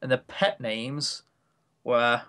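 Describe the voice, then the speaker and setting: A man's voice: mid-range voice, steady tone, slow pace, pushed and bright, clean tone. A man chatting casually as a podcast co-host, giving opinions with wry, coarse humour. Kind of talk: casual chat reviewing games.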